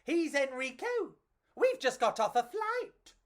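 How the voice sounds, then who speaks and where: Lady doing tiny brave cockroach guy for a kids book, high pitched, chirpy, eager, shouting out exclamations. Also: big pitch swings, takes it slowly, full character voice. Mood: eager, excited. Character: bold, chirpy little male cockroach adventurer.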